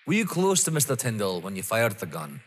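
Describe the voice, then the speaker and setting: A man acting in an audio drama as a dogged, stern police inspector, pointedly courteous, with a rough, husky voice. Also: lowish voice, huge pitch swings, brisk, slight background noise. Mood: probing. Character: dogged, stern male police inspector, dryly polite and accusing. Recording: long echoing hall reverb.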